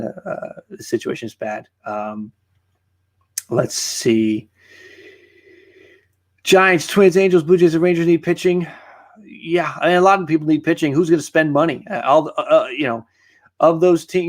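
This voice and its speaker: A man in his thirties hosting a late-night live stream, chatting casually and rambling with many fillers, tired and losing his voice. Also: mid-range voice. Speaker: host of a live sports stream. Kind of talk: casual live-stream chat reading viewer comments.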